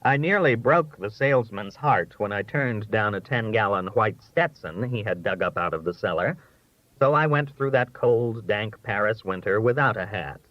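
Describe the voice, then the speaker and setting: A man narrating a humorous literary essay in a measured, expressive, dryly delivered storytelling style. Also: lowish voice, no echo, dull audio. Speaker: audiobook reader.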